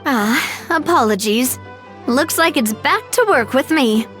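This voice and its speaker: A polished young lady organiser with a high voice and lively melody. She is gracious and helpful in the manner of game dialogue, with light music underneath. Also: takes it slowly, heavily acted. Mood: apologetic, friendly. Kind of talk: video game voice acting.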